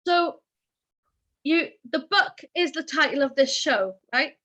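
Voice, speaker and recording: A woman's voice: high voice, lively intonation, a little slow, a bit bright, muffled recording. A woman hosting an interview show, chatty and encouraging toward her guest. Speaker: podcast host.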